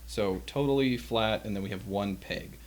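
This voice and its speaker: A man's voice: on the low side, moderate pace. A man giving a casual, unscripted hands-on demonstration of old equipment in a wry, self-deprecating, offhand way. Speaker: host demonstrating vintage audio equipment.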